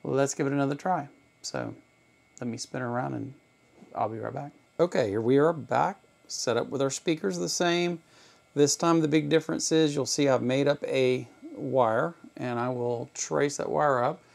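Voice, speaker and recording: Middle aged dude w gravelly voice, plain spoken, takes his time explaining stuff in his home workroom. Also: mid-range voice, normal speed. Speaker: electronics channel host. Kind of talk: casual product demonstration, explaining. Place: small home electronics workroom, indoors.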